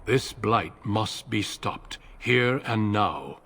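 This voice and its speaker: An actor playing a grave, seasoned middle-aged warrior commander in a fantasy game, measured, formal and courteous. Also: male voice, fairly low pitch, expressive, fairly bright tone, heavily acted. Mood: grave resolve. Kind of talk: fantasy video game acting.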